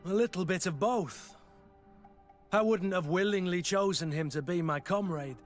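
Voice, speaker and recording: Game role: loyal, plain-spoken mercenary veteran, male actor, fairly high male voice, earnest delivery over background music. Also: moderate pace, lightly acted. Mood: warm, reflective. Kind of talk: video game dialogue acting.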